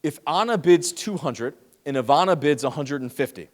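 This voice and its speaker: A man giving a lively, teasing lecture to students in a large hall, speaking into a handheld microphone. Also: on the high side, moderate pace. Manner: lively, teasing, talks straight to the audience. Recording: long hall reverb.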